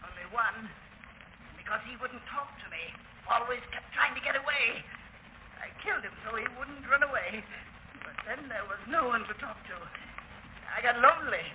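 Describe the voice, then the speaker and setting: An actor in a 1930s radio drama playing a crazed, lonely kidnapper who dwells in dark tunnels, boastful, menacing and agitatedly repetitive. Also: male voice, very high-pitched, normal speed. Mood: menacing, brooding. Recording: dull noisy 1930s radio recording.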